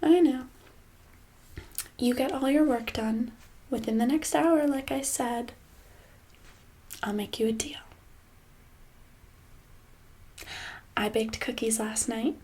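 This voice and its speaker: A woman playing a smug, sinister boss in a soft-spoken ASMR roleplay, casual and condescending, with a breathy voice that often slips into a whisper. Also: fairly high pitch, natural voice. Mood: smugly sweet. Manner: casual, condescending, falsely sweet, hesitant pauses. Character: smug, sinister female boss sweetly holding an employee hostage.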